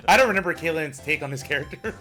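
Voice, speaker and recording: A man's voice: on the high side, lively intonation, quick pace, sharp tone, faint music behind. A man casually teasing and giving role directions during a group game dub.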